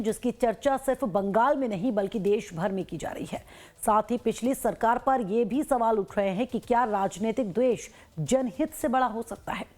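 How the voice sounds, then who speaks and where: A woman reading scripted news as an anchor. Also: sits high.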